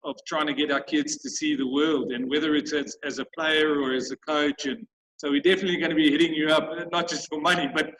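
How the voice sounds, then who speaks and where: A man's voice: fairly high pitch, fairly even intonation, noisy background. A man speaking on a video call, full of restarts and repeated words as he thanks supporters and talks about his sports program. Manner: halting, many restarts. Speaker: school sports program organizer. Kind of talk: grateful casual video call chat.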